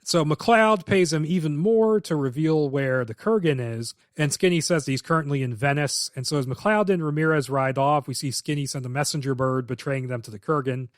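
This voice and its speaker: A man's voice: a little high, moderate pace, few pauses, no room sound, clean studio sound. A man co-hosting a podcast, recapping a film script plot in detail and giving blunt, opinionated takes with casual swearing. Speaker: podcast co-host.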